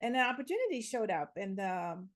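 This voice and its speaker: A woman's voice: mid-range voice, big pitch swings, brisk. Woman telling her story. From Slovakia. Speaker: interview guest, theater teacher. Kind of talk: conversational personal storytelling.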